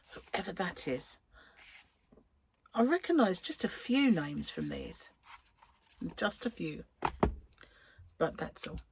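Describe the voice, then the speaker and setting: A woman's voice: fairly high pitch, slight background noise. A woman hosting a podcast, chatting casually and rambling as she thinks aloud. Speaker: podcast host. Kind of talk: casual chat.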